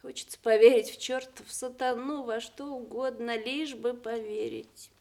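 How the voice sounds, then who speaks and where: An actress playing a devoted, anguished older wife of a dying veteran in a Soviet radio drama, with an emotional, halting delivery. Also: female voice, fairly high pitch, fairly even intonation, warm tone, lightly acted. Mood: grieving.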